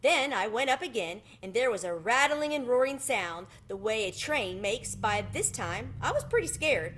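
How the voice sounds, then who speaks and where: A polite country cricket from a storybook, read by a woman. He speaks in a fairly high, lively voice and tells his tale in an earnest, modest way. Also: moderate pace, a little hiss or hum. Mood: worried. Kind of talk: children's storybook read-aloud.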